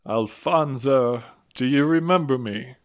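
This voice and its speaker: A man's voice: mid-range voice, measured pace, lightly acted. A man playing a courteous, solemn priest who conducts interviews in a religious audio drama. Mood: courteous, grave.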